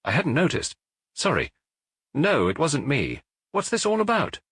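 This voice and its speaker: A man's voice: fairly high pitch, huge pitch swings, unhurried, fairly bright tone, slightly rough, no room sound, clean studio sound, pitched above the performer's own voice, heavily acted. A man playing a sly, condescending young husband being questioned, offhand in manner, in a detective audiobook.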